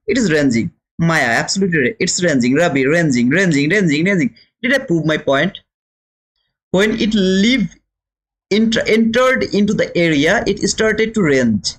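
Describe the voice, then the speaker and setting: High-pitched, animated Bangladeshi man teaching. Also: low-quality recording. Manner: emphatic, repeats phrases, asks rhetorical questions. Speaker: trading teacher and livestream host. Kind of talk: live instructional commentary, talking to viewers.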